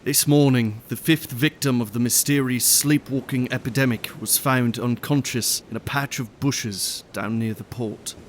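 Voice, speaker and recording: A man plays a sceptical gentleman with little patience in a gothic audio drama. His voice sits at a middle pitch and his manner is brusque. There is a faint rumble underneath. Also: fairly even intonation, normal speed, few pauses, close to an everyday voice. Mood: uneasy, reading. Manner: brusque, demanding. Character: indignant, impatient gentleman, grieving and sceptical.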